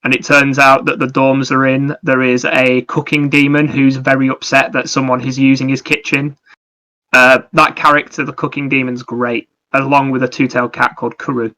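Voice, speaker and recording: A man with a bright, forward voice talks casually as a podcast co-host. His pitch stays fairly flat while he explains things in a rambling, enthusiastic flow. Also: moderate pace, poor audio. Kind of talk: casual chat about anime and games.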